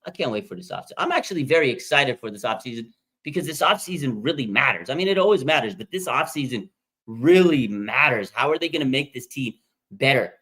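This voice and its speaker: An American man of about forty from Las Vegas, hosting a casual live stream and reading out fan comments in an excitable way. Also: fast talker, husky. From Las Vegas, Nevada, USA. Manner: excitable, with sudden pitch jumps. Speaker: livestream host.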